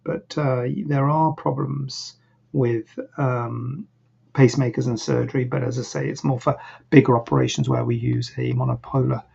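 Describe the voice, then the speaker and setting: A plastic surgeon hosting a live online Q&A, answering questions in a rambling, hesitant way, and a bit croaky. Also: male voice, mid-range voice, fairly even intonation, normal speed, darkish tone. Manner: rambling, hesitant, many fillers and restarts. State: a bit croaky, clearing throat. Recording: dull, lo-fi livestream audio.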